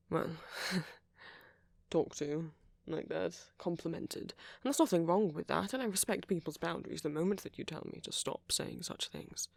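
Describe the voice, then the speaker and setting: A man performs a courteous, flirty male vampire in an intimate audio roleplay. His voice is husky and breathy with plenty of creak, recorded very dry. He speaks softly and rambles politely, apologetic and considerate while he charms. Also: on the high side, no echo, heavily acted. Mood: sheepish, earnest.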